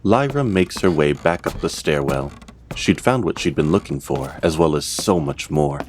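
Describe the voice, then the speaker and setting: A man narrating a scripted fantasy audio drama as its storyteller, in a measured, theatrical way. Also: a bass voice, lively intonation, a little hiss or hum. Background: music.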